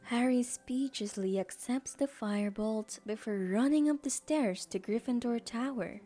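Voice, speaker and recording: A woman's voice: a little high, measured pace, warm tone, faint music behind. A woman reading scripted story narration as the narrator of an audio drama.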